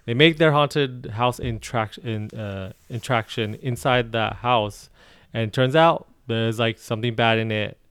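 A man co-hosting a casual podcast chat. He recounts things in a halting way, with many restarts. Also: medium pitch, a bit bright, clean tone, no room sound, clean studio sound. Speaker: podcast co-host.

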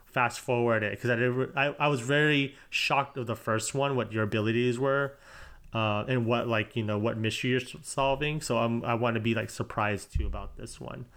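A man's voice: mid-range voice, fairly bright tone, smooth. A man co-hosting a podcast in casual chat, rambling and correcting himself as he goes. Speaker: podcast co-host.

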